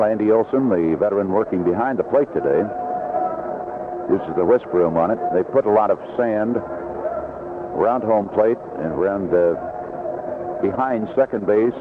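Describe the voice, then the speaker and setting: A man's voice: on the low side, noisy background. Man calling baseball on tinny vintage radio. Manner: unhurried description between plays, rising excitedly on action. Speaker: baseball play-by-play announcer. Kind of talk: live 1970s radio sports commentary. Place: outdoor ballpark broadcast booth.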